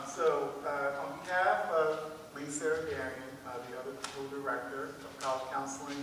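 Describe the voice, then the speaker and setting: A man co-hosting a panel as a school counselor, introducing it to an audience in an informal, loosely phrased, hesitant way. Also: mid-range voice. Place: large echoing hall at a public event. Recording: echoey hall, distant mic, clear noise.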